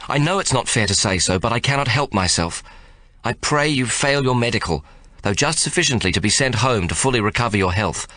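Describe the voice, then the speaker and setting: A man's voice: mid-range voice, animated, fairly quick, bright, forceful tone, no room sound, pitched above the performer's own voice. A man reading the letter of a fond, fretful older sister in a storybook reading. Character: worried, bossy older sister writing a letter.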